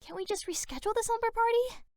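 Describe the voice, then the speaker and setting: A woman's voice: very high voice, quick pace, a little mellow, no room sound, studio quality. A woman voicing a serene new age teenage girl in a cartoon, airy, flowery and affected in manner. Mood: airy, pleading.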